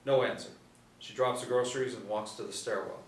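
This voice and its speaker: A man's voice: mid-range voice, a little fast. A middle-aged man reading screenplay stage directions aloud as narrator at a table reading. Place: small indoor room. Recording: roomy, distant mic, light room noise.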